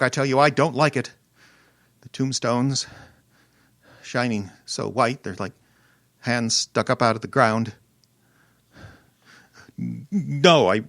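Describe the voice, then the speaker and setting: A man's voice: medium pitch, a bit bright. An actor playing a panicky, imperious rich man in old-time radio horror style, stammering and pleading. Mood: nervous, protesting. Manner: stammering, pleading, theatrical gasps. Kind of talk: old-time radio horror acting before a live audience. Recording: long reverb of a live hall.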